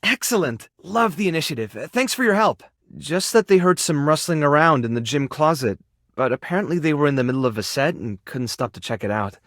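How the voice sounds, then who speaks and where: An actor playing a caring, anxious animal rescuer in a video game, with a friendly, earnest and encouraging manner. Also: male voice, a little high, fairly quick, no room sound, clean studio sound. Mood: earnest, hopeful. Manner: expressive, soft-hearted, encouraging, coaxing small creatures like pets. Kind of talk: video game character dialogue lines. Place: studio.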